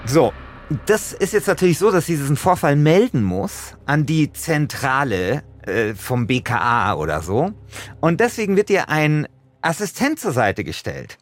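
A man's voice: fairly high pitch, sing-song, a little slow. A man telling a story casually as a co-host, colloquial and blunt. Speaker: co-host pitching a crime audio drama story.

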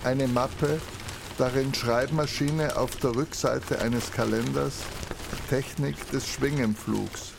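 Very slow, creaky-voiced man announcing. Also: medium pitch, fairly even intonation. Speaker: announcer of document headings. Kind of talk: terse scripted cataloguing. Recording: clearly audible background noise.

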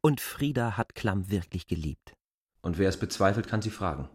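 Indignant male outsider for literary radio drama: low register, emphatic, contradicting, obstinate. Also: lively intonation, moderate pace. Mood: indignant, defensive. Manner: objecting, emphatic.

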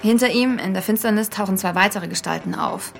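An actress playing an earnest young podcaster, speaking in a casual, slightly hesitant storytelling style. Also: female voice, mid-range voice, a little fast, gravelly, faint music behind, lightly acted. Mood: suspenseful. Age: young adult. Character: earnest young female podcaster haunted by a childhood memory. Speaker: podcast host and narrator. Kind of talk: conversational first-person audio drama storytelling.

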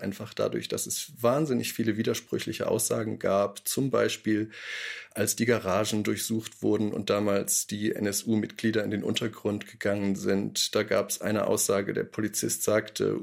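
A man's voice: fairly low pitch, creaky. A man giving a thoughtful, explanatory interview statement as one of the makers of a radio play. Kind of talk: reflective interview talk.

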